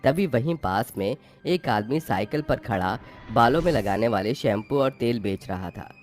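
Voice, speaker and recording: A man's voice: medium pitch, animated, moderate pace. A man narrating a scripted moral tale as its storyteller. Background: faint music.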